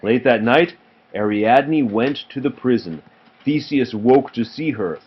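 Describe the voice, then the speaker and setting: A man's voice: medium pitch, lively intonation, a little slow. A man reading a children's storybook aloud as a parent to a child, in a narrating style. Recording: muffled home recording with clear noise.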